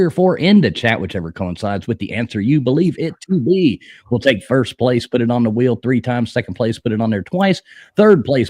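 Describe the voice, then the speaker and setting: A man's voice: medium pitch, darkish tone. A man co-hosting a live-stream trivia night, chatting casually and greeting viewers by name. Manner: laid-back, greets chat by name. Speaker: stream co-host.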